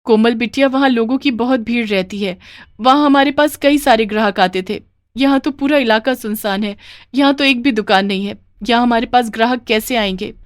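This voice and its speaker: A woman voicing a poor widowed mother who sells street food, earnest and plaintive, in an animated moral story. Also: fairly high pitch, moderate pace, dry recording, studio quality, close to an everyday voice.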